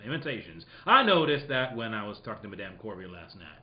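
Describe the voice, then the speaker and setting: A man playing a cheeky, bumbling British detective in a comic radio play, halting and mock-formal, with a British accent. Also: fairly low pitch, big pitch swings, slight background noise. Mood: hesitant, mock-formal. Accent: put-on British. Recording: dull, roomy live recording.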